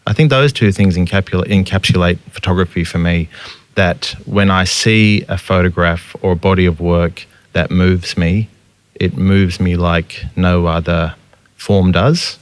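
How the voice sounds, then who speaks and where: A man's voice: low-pitched, steady tone, a little slow, clean tone, no echo. A man, a photographer and teacher, talking thoughtfully in an interview and searching for his words. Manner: thoughtful, searching, with frequent restarts. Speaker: photographer and educator. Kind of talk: reflective interview conversation.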